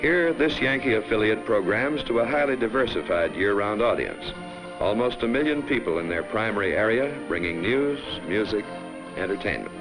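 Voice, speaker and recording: A man reading scripted 1950s promotional film narration in a measured, formal announcer style on a vintage soundtrack. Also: fairly low pitch, lively intonation, low-quality recording. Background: background music.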